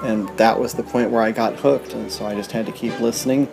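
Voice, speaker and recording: A man's voice: mid-range voice, steady tone, a little fast, vocal fry, poor audio. A man, a podcast host, leaving a friendly, casual congratulatory message. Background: music.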